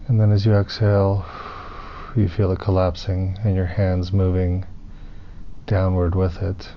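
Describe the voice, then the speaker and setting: A man leading a guided meditation as a teacher, soothing and unhurried, pausing between phrases. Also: low voice, monotone. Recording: muffled, with clear background noise.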